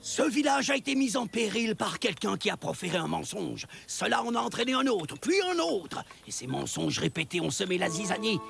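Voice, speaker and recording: A wise, grave prehistoric chief from a cartoon. His very gravelly, fairly high voice rises and falls theatrically as he moralizes. Music sits behind him. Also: big pitch swings, brisk, few pauses, exaggerated voice. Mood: solemn. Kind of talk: animated children's cartoon dub acting.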